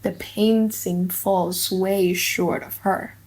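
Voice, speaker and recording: A woman voicing an earnest young male suitor in a dramatic audiobook, speaking deliberately in emphatic short phrases. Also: mid-range voice, steady tone, a little mellow, poor audio. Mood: awed, smitten. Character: earnest young male suitor musing gravely, then smitten. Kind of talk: dramatic audiobook reading in modern English.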